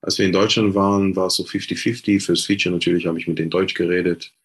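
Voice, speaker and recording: A man's voice: low voice, little pitch movement, quick pace, breathy edge. A man from Israel, a Hebrew speaker, talking hesitantly as an interview guest with many filler sounds and restarts. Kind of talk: casual interview talk.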